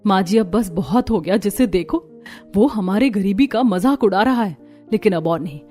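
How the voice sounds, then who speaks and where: A woman's voice: medium pitch, expressive, normal speed, dark tone, soft background music, lightly acted. Casting: female performer as a determined young village wife in a cartoon, earnest, respectful, poor yet proud, medium register. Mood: firm, upset.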